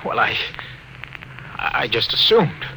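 An actor playing an alien agent posing as a ship's lieutenant in a classic radio science fiction drama. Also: male voice, mid-range voice, sing-song, takes it slowly, vocal fry, pushed and bright, heavily acted. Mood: evasive, nervous. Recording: very noisy old radio drama recording.

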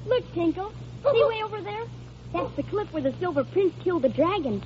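Little girl, dreamy and trusting, high pitched, full of wonder. Old radio drama, muffled hissy sound. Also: expressive, brisk, darkish tone. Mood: excited, wondering. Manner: wonder-struck, childlike delivery. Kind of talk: 1930s-40s American radio drama acting.

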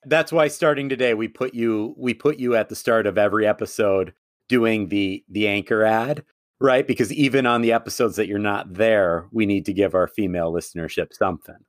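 A man's voice: on the low side, brisk, no room sound, clean studio sound. Teasing man co-hosting a podcast. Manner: teasing, joking banter. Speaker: podcast co-host. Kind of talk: casual podcast chat.

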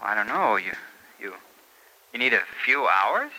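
A man's voice: lowish voice, big pitch swings, unhurried, pushed and bright, heavily acted, poor audio. A man playing a puzzled, hesitant suitor on the telephone in an old-time radio soap opera, with a phone-filtered voice. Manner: hesitant, surprised. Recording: vintage radio recording.

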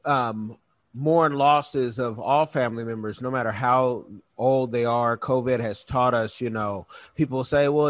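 A man's voice: mid-range voice, no room sound. Guy hosting an interview show, upbeat and chatty, dry close mic. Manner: enthusiastic, conversational. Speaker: host, interviewer. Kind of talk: interview conversation.

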